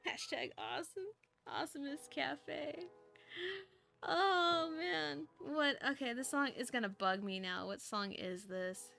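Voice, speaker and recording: A woman livestreamer chatting and reading aloud casually, expressive and exclamatory, often interrupting herself. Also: high voice, a little slow, creaky, soft background music. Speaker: streamer reading fanfiction aloud.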